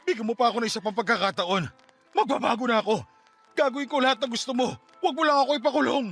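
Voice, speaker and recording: A man's voice: very high voice, racing, fairly bright tone, full character voice. A man playing a wicked, manipulative stepfather with a wheedling, menacing, melodramatic delivery in a radio drama. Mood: pleading. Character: wicked, manipulative stepfather, begging then gloating. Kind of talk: Tagalog radio drama acting. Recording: music bed under the voice.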